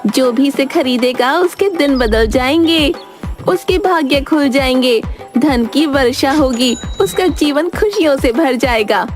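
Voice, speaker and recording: A woman's voice: sits high, lively intonation, unhurried, faint music behind. A woman playing a cunning, boastful village wife who talks in a sly, pushy, persuasive way.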